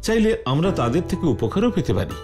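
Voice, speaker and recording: An eager young man in a cartoon story, curious and polite. His voice sits a little high and swings dramatically with each phrase. Soft music plays behind him. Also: very animated, a little fast. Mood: earnest, resolute. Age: young adult. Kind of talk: Bengali cartoon voice acting.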